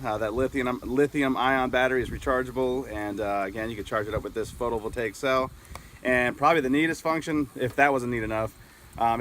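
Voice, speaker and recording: A man's voice: mid-range voice, sharp tone, a little hiss or hum. A middle-aged American man talking casually and a little hesitantly as he explains a product outdoors. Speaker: product reviewer. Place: outdoors in an open grassy yard with trees.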